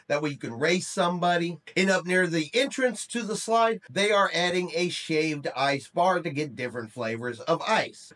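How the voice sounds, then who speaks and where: A man's voice: high voice, fairly bright tone. A middle-aged man presenting a news update to camera in a conversational, emphatic way, as the host of an online channel. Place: small room indoors.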